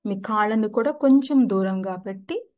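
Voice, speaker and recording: A woman gently guides a relaxation meditation, giving unhurried step-by-step instructions. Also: mid-range voice. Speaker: yoga nidra guide. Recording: music behind the voice. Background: background music.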